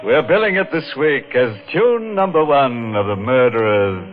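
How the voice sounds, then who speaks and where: Man acting a ghoulish, slow-drawn radio horror host. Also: mid-range voice, expressive, noisy background, lightly acted. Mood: ghoulish glee. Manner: mock-friendly, punning, savoring, chuckling. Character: gleefully macabre horror show host, sly and ghoulish. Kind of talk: 1950s radio horror hosting. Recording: muffled old radio recording with music.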